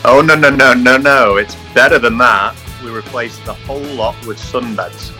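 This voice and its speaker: Male ad voice, bright and tense tone, excitable pitch, music behind. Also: normal speed. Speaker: advert voice. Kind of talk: scripted comic radio ad.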